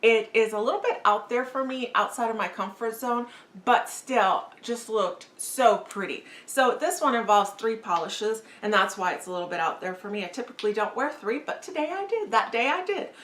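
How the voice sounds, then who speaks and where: A woman's voice: on the high side, expressive, normal speed, fairly bright tone, reverberant. A woman talking casually through a nail polish tutorial, gushing and enthusiastic, with frequent fillers. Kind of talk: casual beauty tutorial talk.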